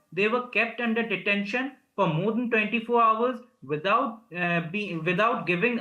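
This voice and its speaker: Indian-accented man, high bright voice, formal halting interview answers, echoey remote recording. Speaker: lawyer and human rights advocate being interviewed. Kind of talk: spoken news interview.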